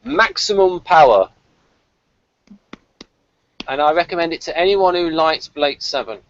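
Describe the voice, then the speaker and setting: A man's voice: fairly high pitch, expressive, a little slow, creaky, a bit bright. A man hosting a casual review discussion of a television series. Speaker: podcast host reviewing a TV series. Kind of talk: casual review chat with read-out notes. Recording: dull, slightly lo-fi audio.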